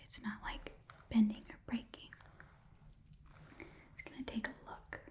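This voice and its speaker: A woman's voice: mellow, natural voice. A woman voicing a chatty, eager goblin pawn-shop keeper in a whispered ASMR fantasy roleplay, rambling and repeating herself. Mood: haggling, hesitant. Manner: halting, rambling, repeats phrases.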